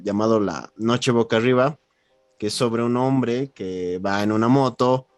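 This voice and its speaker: A man talks as a podcast interviewer in a conversational way, at an ordinary male pitch. Music plays behind his voice. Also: measured pace, no echo. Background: background music.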